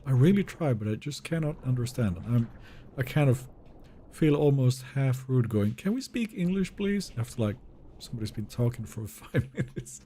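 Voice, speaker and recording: A man's voice: medium pitch, expressive, normal speed, mellow, a little hiss or hum. A young man whose first language is Finnish, casually chatting with viewers and reading their messages during a live game stream from a home setup. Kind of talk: live gameplay stream, reading chat aloud.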